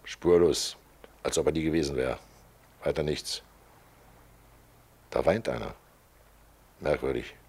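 Death the fat undertaker, deep husky voice, cynical and matter-of-fact, old radio drama. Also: male voice, unhurried, long pauses, darkish tone, exaggerated voice. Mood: dry, indifferent. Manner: sardonic, matter-of-fact, belching. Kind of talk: 1940s German radio play acting. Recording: old 1940s radio recording.

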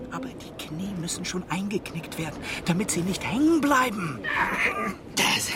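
An actress playing a brisk, callous woman passenger in a radio play, theatrical and swinging between commands and cheer. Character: brisk, callous woman passenger ridding a night train of the dead. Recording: loud train rumble behind the voice. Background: moving train noise.

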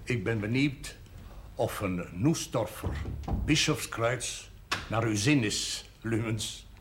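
An actor playing an elderly village priest in a television drama, speaking in formal, measured, slightly hesitant phrases. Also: male voice, medium pitch, lively intonation. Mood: genial, teasing. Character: old village priest, courtly, wistful, gently teasing. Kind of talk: television period drama dialogue. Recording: faint background noise, 1970s television audio.

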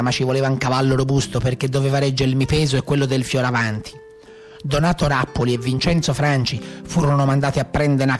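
A man's voice: medium pitch, lightly acted. Radio theatre: proud, tired aging brigand male actor, Tuscan accent, mid-range voice, colloquial and reflective storytelling. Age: 60s, as played. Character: weary aging Tuscan brigand, proud, bitter, recounting his life. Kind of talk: theatrical first-person storytelling.

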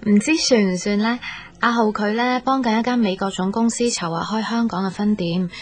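A woman's voice: medium pitch, steady tone, fairly quick, natural voice. An actress playing a sensitive, insecure young girlfriend in a Cantonese radio drama. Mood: tentative, hurt. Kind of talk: Cantonese radio drama acting with inner monologue.

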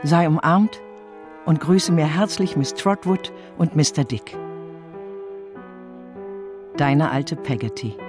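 A performer playing a warm-hearted, homely old nurse reading out an affectionate letter in a literary radio play. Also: mid-range voice, animated, slow, long pauses. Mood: affectionate, concerned. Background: prominent violin music.

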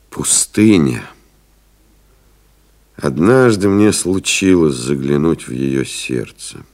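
An actor playing a reflective pilot-writer, narrating memories in a measured, solemn, literary radio-play style. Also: male voice, deep voice, darkish tone, full character voice. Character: reflective male pilot-writer recalling friends and desert flights.

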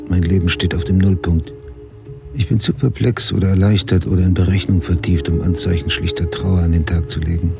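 An actor playing a middle-aged American male crime writer, narrating his dark memories in a deliberate, unsentimental radio drama style. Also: deep voice. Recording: music behind the voice.

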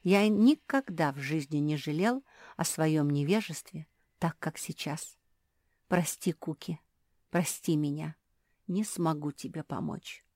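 A woman voicing a wise, kindly male pug in a storybook, measured and subdued. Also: low-pitched, mellow, no echo, studio quality. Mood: regretful.

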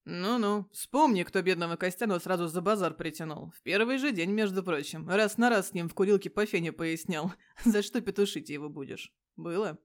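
A woman's voice: fairly low pitch, brisk, close to an everyday voice. A woman plays a self-assured male police detective in an audio story. He needles his partner with insistent, slangy teasing. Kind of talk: audio story character dialogue.

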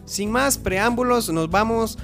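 A man's voice: high voice, slow pace, creaky, a bit bright. A man presenting a radio show with a scripted introduction. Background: music.

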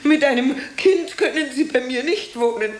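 Woman as weary landlady, radio drama, high voice, practical, halting, roomy sound. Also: a little hiss or hum, natural voice. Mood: practical, solicitous. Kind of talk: German radio drama acting.

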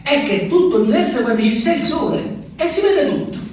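A wistful old peasant. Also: male voice, extremely high pitch, expressive, fairly quick. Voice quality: musing, talks to the moon, rambling. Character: old lonely Abruzzese peasant man, wistful. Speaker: stage actor. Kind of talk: theatrical monologue. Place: theatre stage. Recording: distant stage recording with reverb and faint noise.

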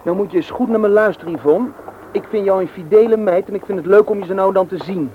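A man's voice: sits high, quick pace, natural voice. Cheeky schoolboy played by a man on dull, noisy tape. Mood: teasing, earnest. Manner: teasing, flippant. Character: cheeky, stubborn teenage schoolboy in love. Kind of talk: radio drama acting. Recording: dull, noisy old radio drama recording.

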